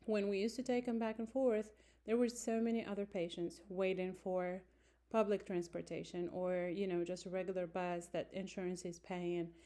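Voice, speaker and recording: A woman in her forties, from Morocco and raised in France, introducing herself to a class in an unhurried way with many fillers and self-corrections, recorded on a close-held phone in a small room. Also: on the low side, little pitch movement, mellow.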